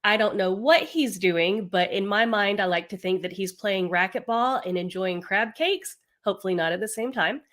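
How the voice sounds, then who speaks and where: A woman hosts a podcast conversation. She speaks with upbeat enthusiasm as she poses questions. Her voice has a middle pitch. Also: normal speed, hardly any pauses, a bit bright. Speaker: podcast host.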